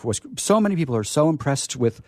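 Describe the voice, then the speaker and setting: Halting, mellow-voiced man hosting casually. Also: medium pitch, big pitch swings, dry recording, studio quality. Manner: halting, repeats his words. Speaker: radio host.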